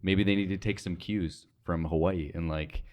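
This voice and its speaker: A man's voice: sits low, steady tone, moderate pace. A man with an American accent hosting an interview as a casual chat, speaking informally and peppering his sentences with "like". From mainland United States. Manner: informal, heavy use of "like". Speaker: interview host.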